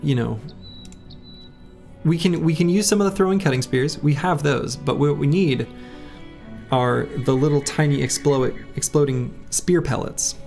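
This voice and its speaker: A man streaming a game, chatting casually with viewers in a rambling way, his voice a little hoarse. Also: on the high side, moderate pace, darkish tone. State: slightly hoarse. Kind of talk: casual live-stream chat while playing. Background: faint game music.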